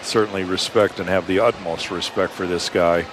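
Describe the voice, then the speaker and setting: A man's voice: deep voice, steady tone, normal speed. A man making a formal, contrite apology in an archived clip. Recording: archive clip with street noise.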